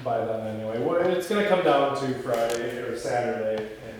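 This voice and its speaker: Man, mid-pitched, narrating a script at a table read. Long hall reverb, faint noise. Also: moderate pace. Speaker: table-read narrator reading stage directions, production lead. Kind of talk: scripted narration of screenplay, with casual discussion. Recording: echoey hall, distant mic, faint noise.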